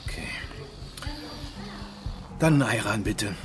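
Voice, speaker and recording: Low-key, lowish-voiced customer. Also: male voice, animated, slow, a bit bright, faint music behind. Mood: casual. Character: low-key male customer ordering at a kebab counter. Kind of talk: naturalistic radio drama acting.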